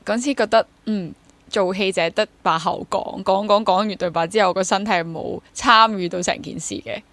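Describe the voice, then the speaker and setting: A woman's voice: medium pitch, steady tone, normal speed, a bit bright, no room sound. A young woman with a Hong Kong Cantonese accent chatting casually as an interview guest, mixing in English words. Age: young adult. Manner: code-switches into English words. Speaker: interview guest, theatre actor and drama teacher.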